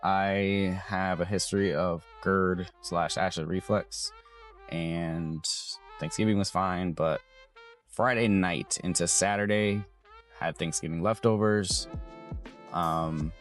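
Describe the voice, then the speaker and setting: A young adult man with a hoarse, raspy voice, chatting casually and rambling as he loses his train of thought. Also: low-pitched, steady tone, slow pace, faint music behind. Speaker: vlogger. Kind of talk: casual vlog chat.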